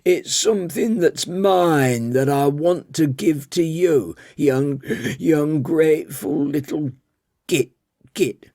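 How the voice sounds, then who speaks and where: A man voicing a bossy, foul-mouthed elderly office tea lady in a high, scolding voice. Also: takes it slowly, mellow, no echo, studio quality. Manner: scolding, coarse, high put-on old woman's voice.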